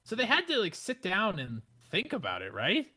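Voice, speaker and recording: Excitable nasal Midwestern man. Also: moderate pace. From American Midwest. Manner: excitable, rambling, voice jumps high when worked up. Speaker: podcast host. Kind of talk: casual podcast chat.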